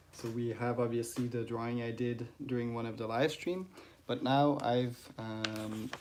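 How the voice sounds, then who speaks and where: Male streamer, French first language, unhurried mid-pitched casual talk, halting with fillers. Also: a little mellow, slight background noise. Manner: halting, many "uh"s and restarts, greets chat viewers. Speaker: ant-keeping livestreamer. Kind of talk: casual livestream chat.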